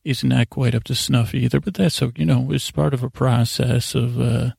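A male podcast host tells a drowsy, wandering bedtime ramble in a heavily gravelly, mellow voice. His diction is loose and a little slurred, and he circles back on himself in halting tangents. The sound is close and dry, with faint music underneath. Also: medium pitch, steady tone, no echo. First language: English. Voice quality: imprecise, slightly slurred diction. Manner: meandering, halting, repeats and trails off into tangents. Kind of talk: rambling bedtime storytelling meant to lull listeners to sleep.

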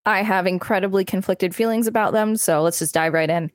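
A woman's voice: medium pitch, a little fast, no room sound. A woman co-hosting a podcast, reading an article aloud and adding casual commentary. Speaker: podcast co-host.

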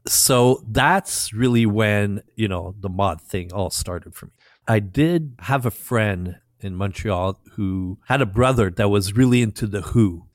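A middle-aged French Canadian man with a Québécois French accent, French his first language, telling stories in a relaxed way as a guest DJ. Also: fairly low pitch, a little slow, no room sound, studio quality. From Quebec, Canada. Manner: relaxed storytelling with fillers. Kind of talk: casual interview chat.

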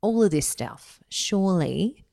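A woman's voice: unhurried, creaky. A woman in her thirties co-hosting a podcast in casual, chatty talk, laughing often. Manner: chatty, laughs often, expressive swings in pitch. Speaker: podcast co-host. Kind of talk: casual chat.

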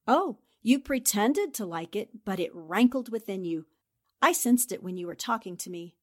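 A woman's voice: medium pitch, animated, normal speed, lightly acted. A woman playing a shrewd, confident newspaper reporter and amateur sleuth, theatrical and assertive in 1940s radio drama style. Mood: accusing.

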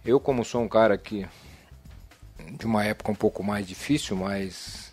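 A man's voice: on the low side, moderate pace, darkish tone, slightly rough, faint music behind. A man, a football coach and former player, telling stories bluntly in casual slang as a radio show guest. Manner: blunt storytelling with frequent slang. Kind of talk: casual chat.

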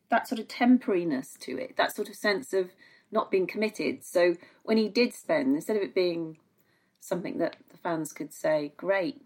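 A woman with a British accent giving conversational opinion as a guest pundit, circling back over words as she makes her point. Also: on the low side. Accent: British English. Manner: hesitant phrasing, repeats words for emphasis. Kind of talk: conversational opinion, podcast discussion.

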